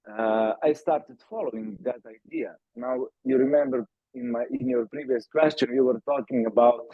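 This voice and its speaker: A man's voice: medium pitch, gravelly, no echo. A man from Slovenia answering podcast interview questions, with many fillers and restarts. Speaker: startup founder, guest. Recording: tinny phone-like call audio.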